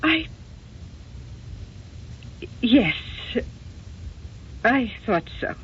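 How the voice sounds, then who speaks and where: A wounded, evasive American wife in a vintage radio play. She speaks with halting emotion and genteel old-fashioned manners. The sound is dull, with faint noise behind it. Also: a little high, big pitch swings, full character voice. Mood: reluctant, halting. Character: wounded, evasive wife haunted by lost love. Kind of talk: old-time radio drama acting.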